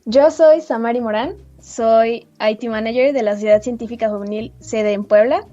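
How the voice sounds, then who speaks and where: A woman's voice: fairly high pitch, normal speed, warm tone, soft background music. An eighteen-year-old woman with a Mexican Spanish accent, a podcast guest talking earnestly with small restarts. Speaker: guest, youth science society member. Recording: muffled lo-fi mic.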